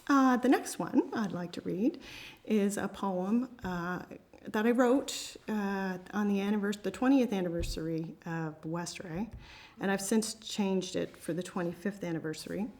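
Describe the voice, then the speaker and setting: A woman's voice: medium pitch, a little slow, darkish tone. Woman, amateur writer at a reading. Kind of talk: reading own short story aloud to a group.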